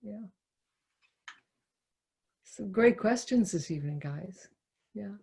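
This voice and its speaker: A woman's voice: mid-range voice, animated, slow, creaky, mellow, slightly rough. A woman leading a reflective discussion with an audience, speaking hesitantly and repeating words and phrases for emphasis.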